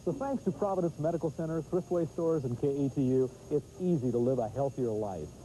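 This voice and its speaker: Soft dark-voiced guy doing a health ad, bit of hiss. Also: on the high side, a little fast, low-quality recording. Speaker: commercial spokesman. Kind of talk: scripted ad read.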